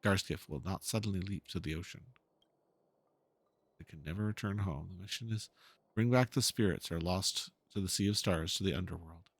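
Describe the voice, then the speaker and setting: A man voicing a brooding, world-weary game character who speaks in a hushed, grave manner. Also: low voice, moderate pace, breathy edge, dry recording, pitched below the performer's own voice. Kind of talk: dramatic reading of game dialogue.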